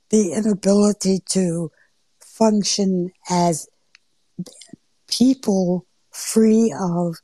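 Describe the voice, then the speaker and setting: A middle-aged woman with a mild accent between Southern and Northern American, speaking in an unhurried storytelling way in a roundtable discussion. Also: mid-range voice, dry recording. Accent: between Southern and Northern US, neither strong. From Georgia and New York, US. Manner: unhurried storytelling with drawn-out phrases and soft asides. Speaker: panel speaker. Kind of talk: roundtable discussion on a live audio forum.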